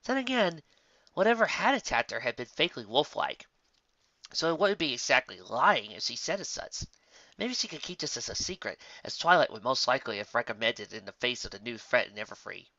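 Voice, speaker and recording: A man reading scripted story narration as an amateur, expressive and occasionally stumbling over the text. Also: medium pitch, a little fast, no echo, highs cut off.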